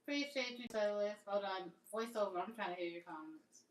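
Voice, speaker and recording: A woman's voice: mid-range voice, fairly quick. A woman in her thirties chatting playfully on a livestream, answering viewer comments. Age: 30s. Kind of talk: casual live-stream chat. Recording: roomy, distant from the mic.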